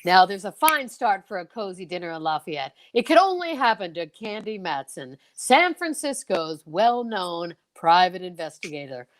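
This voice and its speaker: A woman playing a dramatic, teasing 1950s radio mystery announcer with drawn-out theatrical phrasing, in an amateur reading. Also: on the low side, big pitch swings, voice pushed down. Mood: suspenseful. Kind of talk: old time radio announcing, read by amateurs.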